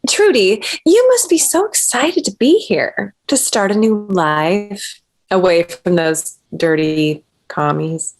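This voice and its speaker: Sugary prim housewife, actress doing her, slow, kinda hesitant. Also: medium pitch, expressive, darkish tone. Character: prim, old-fashioned American housewife. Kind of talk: table read of a screenplay.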